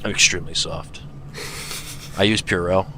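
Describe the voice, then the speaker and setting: A man voicing a playful tomcat warrior who reads out fan questions as announcer, teasing, goofy and deadpan by turns. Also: sits low, moderate pace. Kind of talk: improvised in-character fan Q&A. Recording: music behind the voice.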